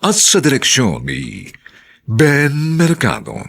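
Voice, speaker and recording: A man with a Filipino accent narrating a radio drama as its announcer, in a dramatic, deliberate scripted delivery. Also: mid-range voice, very animated, gravelly, a bit bright.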